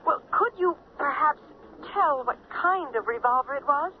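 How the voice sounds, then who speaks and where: An actress playing a nervous, hesitant anonymous caller, halting and stammering, in a radio mystery drama. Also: female voice, sits high, animated. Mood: nervous, probing. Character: hesitant, nervous woman phoning the police anonymously. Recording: thin telephone-like old radio, faint noise.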